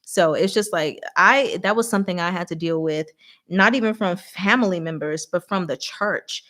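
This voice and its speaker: A woman's voice: fairly low pitch, moderate pace. A woman hosting a casual livestream, rambling candidly and expressively as she responds to viewers and acts out bits of dialogue. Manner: animated and candid, acts out imagined dialogue, laughs often. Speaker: livestream host. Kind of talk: casual rambling livestream chat, reading and answering viewer comments.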